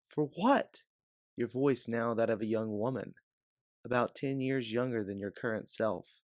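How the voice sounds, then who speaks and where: A man's voice: mid-range voice, fairly even intonation, normal speed, dry recording, studio quality. A man reading scripted second-person adventure narration as an audiobook narrator.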